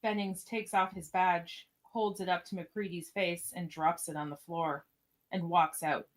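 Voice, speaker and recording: Female narrator, slightly low register, matter-of-fact, reads scene directions for an audio play. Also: fairly even intonation, a little slow. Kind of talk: scripted stage-direction narration.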